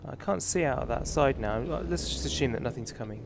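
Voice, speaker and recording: A man's voice: mid-range voice, expressive, a little fast, gravelly. A man in his thirties with a British accent, chatting casually while he streams a driving game, rambling and self-mocking. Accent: British English. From England. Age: 30s. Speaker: livestream host. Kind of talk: casual gaming livestream chat. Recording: game engine noise behind. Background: truck engine and road noise from the game.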